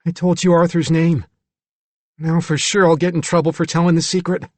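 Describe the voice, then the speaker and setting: A man's voice: fairly high pitch, little pitch movement, normal speed, darkish tone, dry recording, voice pushed up, lightly acted. Storybook role: scared little boy played by a man, fragile, childlike, voice raised, dry close sound. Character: frightened, fragile young boy keeping secrets.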